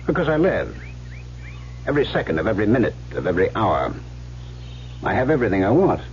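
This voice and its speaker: A man's voice: lowish voice, sing-song, fast talker. An actor playing a cocky, silver-tongued gangster in an old-time radio drama, teasing and insistent as he works on his listener. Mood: goading, intense. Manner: smooth-talking, insistent, theatrical, teasing. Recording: muffled vintage radio broadcast, faint hum.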